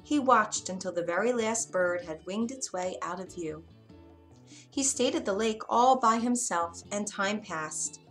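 A woman reading a scripted children's fairy tale in an expressive, deliberate storytelling manner. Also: medium pitch. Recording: music behind the narration. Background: music.

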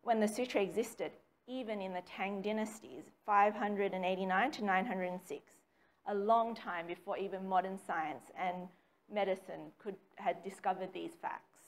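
Woman reading a talk from notes. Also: mid-range voice. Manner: measured, reads from notes with occasional ums. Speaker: speaker giving a dharma talk. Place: small meeting room with a slide screen. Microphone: far camera, no mic seen.

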